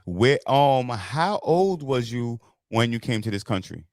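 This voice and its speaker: A man's voice: mid-range voice, sing-song, a little slow, darkish tone, no echo, clean studio sound. A man born in the United States, hosting a live interview as a DJ in laid-back street talk, often chiming in with agreement. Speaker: host, DJ.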